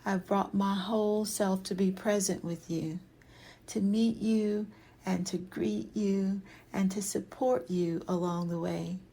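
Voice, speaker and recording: Slow, breathy woman guiding meditation. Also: medium pitch, fairly even intonation. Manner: soothing, hushed, often trailing into breathy whisper. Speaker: meditation guide. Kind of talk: guided yoga nidra meditation.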